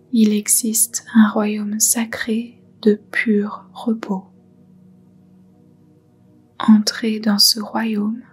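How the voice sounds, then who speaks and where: A woman softly guiding a meditation in a breathy, whispery voice, soothing and unhurried. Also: mid-range voice. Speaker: yoga nidra guide. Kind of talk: guided meditation, soft whispered narration.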